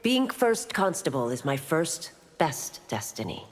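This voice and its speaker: Low-voiced stern fantasy constable woman. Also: sing-song, heavy background noise. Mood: formal, respectful. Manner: measured, formal, firm. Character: stern, loyal female police constable of a fantasy realm. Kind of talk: video game dialogue acting. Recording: long hall-like reverb.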